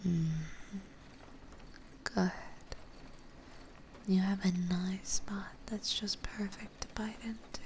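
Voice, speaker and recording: A woman playing a gentle, dominant vampire mistress in an intimate ASMR roleplay, soft and breathy, often whispering, with a refined, coaxing manner. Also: mid-range voice, steady tone, slight background noise. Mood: soothing, teasing. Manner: slow, coaxing, refined and reassuring.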